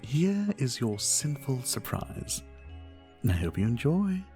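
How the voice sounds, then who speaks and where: A man voicing a charming, flirtatious vampire bartender in an ASMR roleplay, speaking in a soft, courteous, teasing and unhurried way. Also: medium pitch, very animated, faint music behind. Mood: teasing, modest. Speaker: roleplay audio performer. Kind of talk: ASMR roleplay, addressing the listener.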